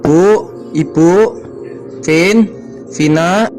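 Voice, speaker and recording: A man voicing a calm, protective young father in a cartoon, with music playing behind. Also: on the high side, extremely slow. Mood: worried. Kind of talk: cartoon voice acting.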